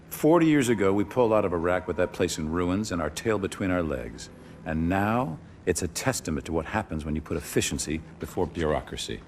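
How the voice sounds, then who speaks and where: An actor playing a commanding, self-assured boss of a private army in a video game. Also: male voice, deep voice, a little mellow, a little hiss or hum. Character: commanding, self-assured male military tycoon. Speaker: military company chief executive.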